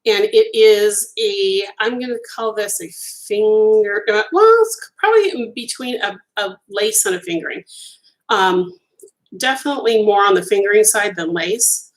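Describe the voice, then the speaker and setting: A woman's voice: mid-range voice, expressive, moderate pace, poor audio. A middle-aged woman whose first language is Italian, chatting enthusiastically through a casual live-stream unboxing, with lots of ums. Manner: chatty and enthusiastic, gushing over colors, many ums. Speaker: crochet designer hosting a live stream.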